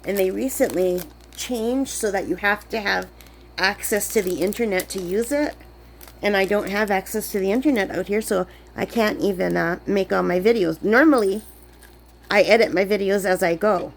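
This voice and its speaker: Woman vlogger, under the weather, rambling chat, gravelly fry. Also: medium pitch, normal speed, slight background noise. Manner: chatty, rambling, many fillers. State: sick, voice lower than usual. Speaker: crafting vlogger. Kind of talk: casual chat while crafting. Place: camp cabin indoors.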